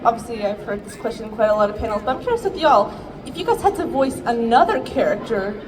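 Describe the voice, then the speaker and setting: A woman's voice: a little high, lively intonation, fast, recorded from a distance, noisy background. A young woman fan asking a question at a panel, friendly and giggly. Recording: crowded convention hall.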